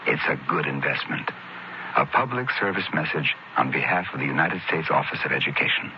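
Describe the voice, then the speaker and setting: A man reading a scripted public service message in a measured, plain-spoken, persuasive way. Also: rumbling low, animated. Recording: dull old radio, faint noise.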